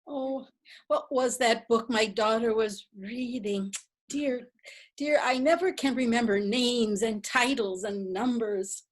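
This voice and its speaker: An actress reading the part of a mild, forgetful widow who accepts old age, in a readers theatre play. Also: female voice, a little high. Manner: hesitant, slow and mild. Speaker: actor.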